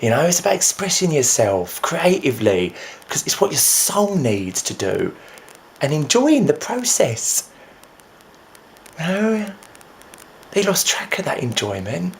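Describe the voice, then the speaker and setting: A man performing a dramatic stage monologue as a grieving, frustrated friend of a lost musician, speaking earnestly with halting repetition. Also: fairly high pitch, very animated. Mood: earnest, pained. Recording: faint noise behind the voice.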